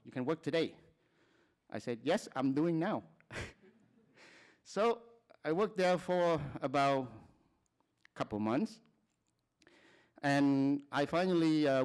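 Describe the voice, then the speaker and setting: Smooth-voiced man from Cambodia, halting. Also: medium pitch. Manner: plain, halting talk with frequent restarts and short laughs. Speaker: conference speaker. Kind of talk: personal testimony at a conference.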